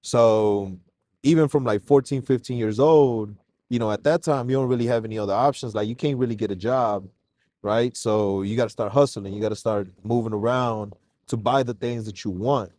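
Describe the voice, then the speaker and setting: Low-voiced man from Mexico, slangy. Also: moderate pace, dry recording, clean studio sound. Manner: relaxed, slangy, calls host "bro". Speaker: podcast guest. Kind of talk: casual podcast interview.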